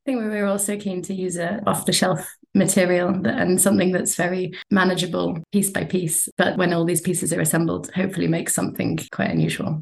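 Gravelly low-voiced woman architect. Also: little pitch movement. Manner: measured, explanatory, with hesitant restarts. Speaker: architect and bench designer. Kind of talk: interview answers, thoughtful.

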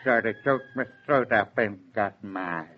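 An actor playing a sentimental American farmer, gruffly tender and halting, in a 1940s radio drama. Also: male voice, on the low side, close to an everyday voice. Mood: choked up. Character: sentimental male farmer parting with his cow. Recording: dull 1940s radio transcription.

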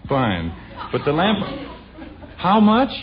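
A man's voice: a little high, wildly swooping pitch, takes it slowly, heavily acted. An actor playing a wry, put-upon middle-aged father in a 1950s radio sitcom, sarcastic and exasperated. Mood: bewildered. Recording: dull, noisy vintage radio broadcast.

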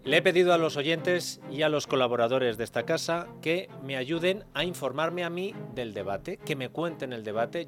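Higher-pitched Spanish radio host over music. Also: male voice. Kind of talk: live radio presenting.

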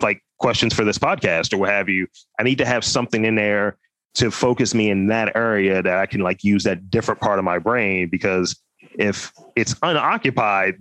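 A man's voice: fairly low pitch, fairly bright tone, dry recording. A man hosting a podcast interview, chatty and jokey with self-deprecating asides. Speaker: podcast host.

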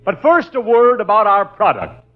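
An actor playing a hearty, slick announcer in a 1940s radio parody commercial, on a noisy, muffled old recording. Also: male voice, sits high, big pitch swings, normal speed. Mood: hearty salesmanship. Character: hearty, slick mock-commercial announcer pitching motor oil.

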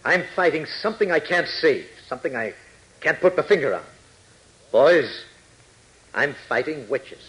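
An actor playing a strained, desperate army major, grave and intense, in a 1940s radio adventure. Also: male voice, a little high, animated, measured pace, long pauses, a bit bright, low-quality recording. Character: strained middle-aged male army major, haunted, desperate.

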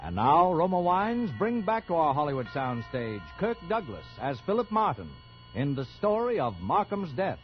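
A man's voice: fairly high pitch, very animated, moderate pace. A man introducing a programme in a dramatic 1940s radio announcing style. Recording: muffled 1940s radio broadcast with music.

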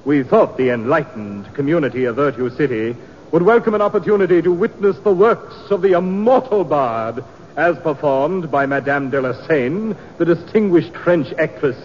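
An actor playing a pompous, grandiloquent stage tragedian in florid, declamatory 1950s radio drama style. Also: male voice, fairly high pitch. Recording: muffled old radio recording, echoing hall.

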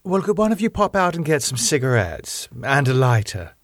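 A male narrator plays a firm, composed woman in charge in an audiobook. She is polite yet insistent, with an expressive pitch. The recording is dry and close. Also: normal speed, no room sound, natural voice. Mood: concerned, reassuring. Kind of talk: audiobook character dialogue.

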